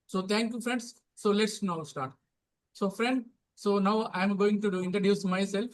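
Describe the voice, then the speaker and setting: A middle-aged man from India with an Indian accent, hosting a casual English practice chat and speaking in a halting way that starts most lines with "so". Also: high-pitched, steady tone. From Telangana, India. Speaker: host.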